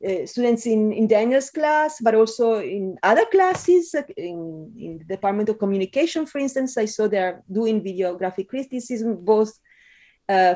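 A middle-aged woman from Italy with an Italian accent, giving conversational interview answers with frequent ums and pauses. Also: medium pitch, mellow. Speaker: interview guest, film scholar.

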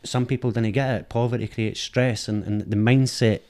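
A man's voice: medium pitch, fairly even intonation, normal speed, darkish tone. A middle-aged man from Scotland with an Edinburgh accent, talking frankly and thoughtfully as an interview guest, often repeating words as he searches. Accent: Edinburgh Scottish. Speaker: guest, film director. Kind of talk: candid reflective conversation. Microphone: dynamic studio mic.

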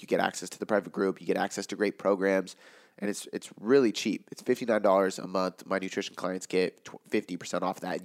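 A man with a raspy, worn-out voice, hosting a casual podcast Q&A as a fitness coach and explaining in a loose way, with lots of "like" and "um". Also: deep voice. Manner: loose, filler-heavy explaining with frequent "like" and "um". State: hoarse from talking all day.

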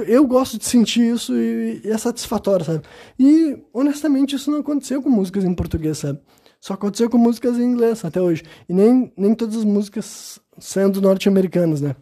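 A man's voice: sits high, dark tone. A man from Brazil hosting a casual solo podcast, rambling with constant "sabe?" tags and hesitant restarts. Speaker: podcast host. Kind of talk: casual monologue.

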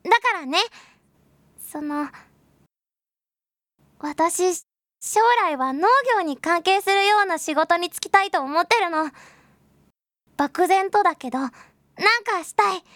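Audio drama little sister: extremely high voice, childlike, sincere and gentle, adores her big brother, dry studio sound. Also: slow, pauses often, no echo, exaggerated voice. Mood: earnest, thoughtful. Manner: eager, childlike, gentle, talking to an unheard brother. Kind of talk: Japanese audio drama acting.

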